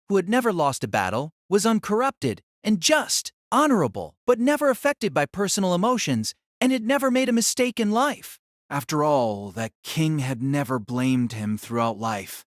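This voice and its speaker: A man's voice: mid-range voice, dry recording, studio quality. A man narrating a novel as a dramatic audio story, in a solemn, theatrical manner.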